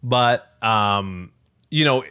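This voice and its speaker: A man's voice: medium pitch, animated, extremely slow, pushed and bright, clean tone. A Canadian man co-hosting a podcast in casual chat, talking in a hesitant, rambling way full of filler phrases. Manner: hesitant, rambling, frequent "like" and "you know". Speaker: podcast co-host. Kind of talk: casual tech news chat.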